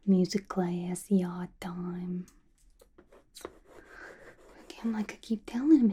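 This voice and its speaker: A woman voicing a playful, gossipy villain locked in an asylum, in a breathy, chatty, confiding ASMR roleplay. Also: medium pitch, mellow, a little hiss or hum, lightly acted. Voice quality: breathy, whispery. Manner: chatty, confiding, casual slangy talk.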